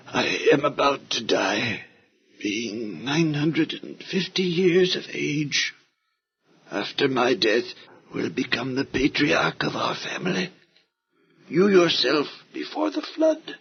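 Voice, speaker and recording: An actor playing an ancient dying patriarch in a Bible audio drama, solemn and fatherly, with a whispery, halting voice. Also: mid-range voice. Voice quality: husky, breathy, whispery voice. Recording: dull lo-fi recording.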